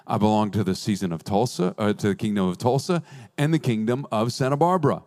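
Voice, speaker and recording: A man preaching a sermon as a pastor, earnest with playful asides, slowing down to stress key phrases. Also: fairly low pitch, a little mellow.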